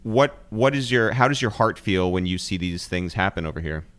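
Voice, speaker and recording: A man hosting a casual interview from home, asking halting questions full of fillers. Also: deep voice, animated, clean tone. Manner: halting, many fillers and restarts. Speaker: host. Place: apartment.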